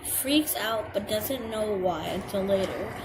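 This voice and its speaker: A young woman with a girlish voice reads a checklist aloud and comments on it hesitantly, stumbling over words and filling pauses. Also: medium pitch, expressive. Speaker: vlogger. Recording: home video with clear background noise.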